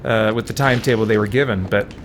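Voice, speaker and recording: A man giving casual let's play commentary, musing in a halting way with repeated restarts. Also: on the low side, steady tone, background noise.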